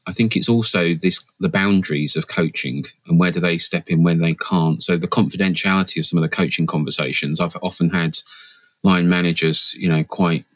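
Man, very low voice, dry close sound; considered guest answers, full of restarts. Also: dry recording, poor audio. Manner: thoughtful, measured, with frequent fillers and restarts. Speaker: interview guest, HR leader and coach. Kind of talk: reflective interview answers.

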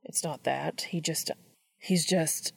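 Storybook student, young man, read by a woman: lowered, heavily fried voice, vulnerable, halting, unsure of himself. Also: fairly even intonation, creaky, slightly rough, exaggerated voice. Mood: reluctant, defensive. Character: vulnerable, hesitant young male college student.

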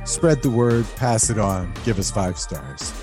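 A man with a fairly low voice presents a podcast like a disc jockey. He talks conversationally, slipping in playful asides, with music clearly playing behind him. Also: expressive, unhurried. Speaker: podcast host and co-producer.

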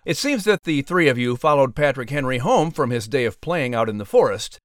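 A man narrating a children's story as announcer, with expressive, scripted storytelling delivery. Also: mid-range voice, brisk, no echo, studio quality.

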